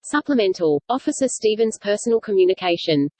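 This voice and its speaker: A woman's voice: mid-range voice, expressive, brisk, mellow, no room sound, lightly acted. A woman voicing a ship's computer, making clipped, formal automated announcements in a sci-fi audio drama. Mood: impersonal, formal. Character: ship computer voice announcing log entries.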